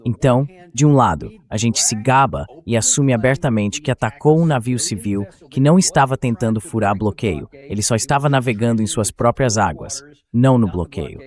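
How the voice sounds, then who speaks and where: A man's voice: medium pitch, lively intonation, moderate pace, darkish tone. A man hosting a political talk show, giving commentary as a translated voice-over.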